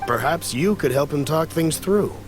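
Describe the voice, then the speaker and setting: An actor voicing a calm, gentle, kindly old uncle who talks in a mild, hospitable way. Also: male voice, a little high, moderate pace, natural voice. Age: older. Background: game music.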